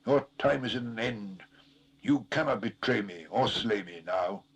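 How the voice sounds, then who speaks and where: A man's voice: lowish voice, animated, unhurried, breathy edge, exaggerated voice. A man voicing a stern, weary hero in a storybook reading, solemn, commanding and declamatory. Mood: gasping, commanding.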